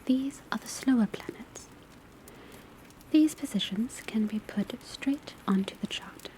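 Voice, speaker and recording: A woman playing a patient, studious witch school teacher in an ASMR roleplay, whispering softly and deliberately as she lectures. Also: on the high side, close to an everyday voice. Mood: calm, methodical. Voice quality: soft, breathy whisper. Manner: measured, deliberate, instructional. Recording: crackling fire, rain, pencil noise behind. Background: crackling fireplace, rain on roof, pencil writing.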